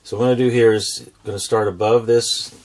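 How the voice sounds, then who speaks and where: A man's voice: lowish voice, little pitch movement, fairly quick, darkish tone. An American man in his forties hosts a drawing tutorial, explaining each step simply and patiently. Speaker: drawing instructor and show host.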